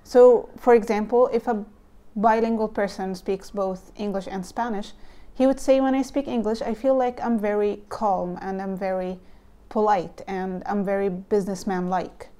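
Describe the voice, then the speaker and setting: Egyptian-accented young woman with a mellow voice. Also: mid-range voice, steady tone, moderate pace. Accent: Egyptian Arabic. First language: Arabic. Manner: nervous, thoughtful, with frequent ums and likes. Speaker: vlogger. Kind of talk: casual explainer vlog. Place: home room with bookshelves, indoors. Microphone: lapel mic, camera close.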